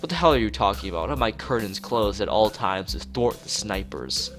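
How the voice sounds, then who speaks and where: A man's voice: lowish voice, animated, fairly quick, fairly bright tone. A man voicing a paranoid young male student in a visual novel, agitated and dramatically complaining. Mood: suspicious, indignant. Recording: music playing behind the voice.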